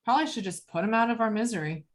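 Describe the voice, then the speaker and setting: A woman's voice: fairly high pitch, rapid-fire, natural voice. A woman acting a brash, hostile, foul-mouthed tough woman at a script table read, combative and sarcastic. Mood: hostile, taunting.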